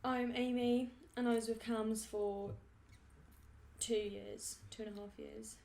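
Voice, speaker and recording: A woman's voice: a little high, level tone, slow, creaky, warm tone. A woman in her late teens in a group talk, speaking thoughtfully and chiming in on others. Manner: thoughtful, chimes in, trails off with likes. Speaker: group member, guest. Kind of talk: casual group discussion. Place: meeting room.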